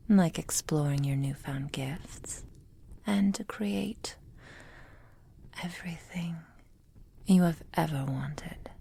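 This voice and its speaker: A woman playing a possessive, manipulative witch lover in an intimate ASMR roleplay, whispering in a formal, measured, softly controlling way. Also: deep voice, slight background noise, exaggerated voice. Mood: soothing, evasive. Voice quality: breathy, mostly whispered.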